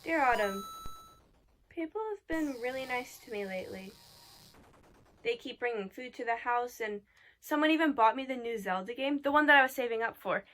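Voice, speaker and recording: A woman's voice: a little high, expressive, moderate pace, fairly bright tone, slight background noise. A woman acting a grieving younger sister, plainspoken and subdued, in a stage monologue. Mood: subdued, plain. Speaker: stage actor. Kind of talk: theatrical letter monologue.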